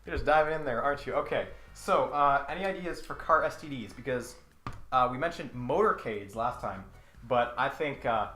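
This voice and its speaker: A man's voice: mid-range voice, normal speed, sharp tone, echoey room, faint music behind. A man hosting with comic commentary and dramatic reading, in a mock-serious, sarcastic manner. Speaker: host.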